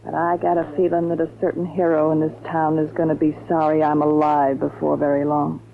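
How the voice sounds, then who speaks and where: An actress playing a bitter, brooding nightclub singer with a grudge in a 1940s radio drama. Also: female voice, deep voice, completely flat delivery, fairly quick, vocal fry. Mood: bitter, vengeful. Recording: dull 1940s radio recording, music behind.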